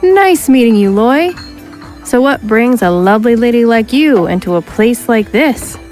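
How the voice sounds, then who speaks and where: Cocky space smuggler woman, played by an actress, swaggering banter, slow unhurried delivery, audio drama. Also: on the high side, expressive, a little mellow, soft background music. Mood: cocky, flirty.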